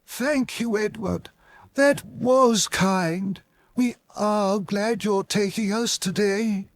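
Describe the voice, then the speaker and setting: A man voicing fussy, timid railway coaches in a high, delicate, pleading voice. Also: slow. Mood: grateful.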